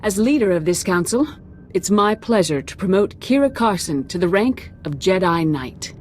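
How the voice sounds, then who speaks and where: A woman playing a dignified, gracious Jedi council leader in a video game, formal and measured in manner. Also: mid-range voice, lively intonation, darkish tone, slight background noise, close to an everyday voice. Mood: solemn.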